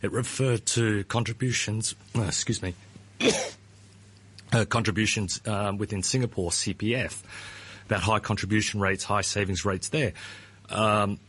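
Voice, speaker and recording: Man, middle-aged, guest on a talk show, husky, hedging delivery. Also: fairly low pitch, steady tone, measured pace, a bit bright. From Australia. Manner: hedging, often starts with "look", repeats words. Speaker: pension ratings firm founder. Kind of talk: radio interview guest.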